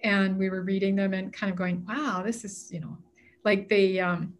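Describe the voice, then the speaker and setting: A woman's voice: mid-range voice, level tone, moderate pace. A woman telling a travel story in casual conversation, rambling, with many fillers and restarts. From Canada.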